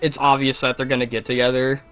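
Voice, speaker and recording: A man's voice: mid-range voice, little pitch movement, fast talker, a bit bright, faint music behind. A man chatting casually as a conversation partner, agreeable, informal and a little hesitant. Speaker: co-host or guest reacting to the story. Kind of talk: casual chat.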